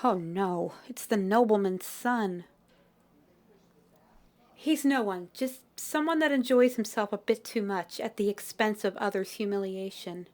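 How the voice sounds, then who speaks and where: A woman voicing a shy, humble young peddler flower girl in a fantasy audio roleplay, speaking softly and politely with old-fashioned phrasing. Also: medium pitch, unhurried, natural voice. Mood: gentle, shy.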